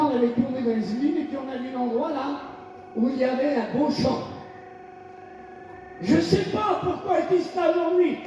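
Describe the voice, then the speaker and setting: High-voiced man preaching in echoey hall. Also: a little hiss or hum. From France. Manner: preaching with shouted bursts and call-and-response. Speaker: pastor. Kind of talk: fiery revival sermon. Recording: echoey hall, distant lo-fi mic.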